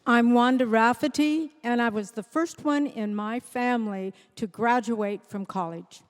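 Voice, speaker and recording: Higher-voiced woman in a reverberant hall. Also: unhurried. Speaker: readers theater performer. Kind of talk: prepared personal statement.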